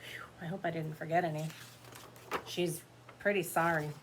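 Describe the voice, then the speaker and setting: A woman's voice: low-pitched, steady tone, normal speed, slight background noise. A woman teacher reading a picture book aloud to children, expressively, with explanatory asides to her young listeners.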